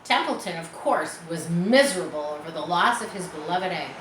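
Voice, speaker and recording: Bright-voiced woman reading aloud in a roomy space. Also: on the low side, lively intonation, normal speed. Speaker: storybook reader. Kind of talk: children's read-aloud with casual asides. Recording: roomy echo, faint background noise.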